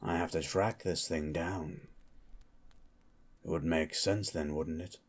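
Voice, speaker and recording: A man playing a gruff, suspicious monster hunter in an ASMR roleplay, with a guarded, dry manner. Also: steady tone, takes it slowly. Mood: grave, suspicious. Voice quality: low, gravelly-husky.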